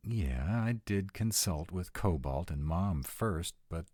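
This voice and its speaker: Man acting a very deep-voiced sarcastic vampire. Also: slow, no room sound, clean studio sound, exaggerated voice. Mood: defensive. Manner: sarcastic, expressive, conversational. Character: sarcastic young-at-heart male vampire, nearly two hundred, anxious. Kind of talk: intimate one-sided roleplay audio acting.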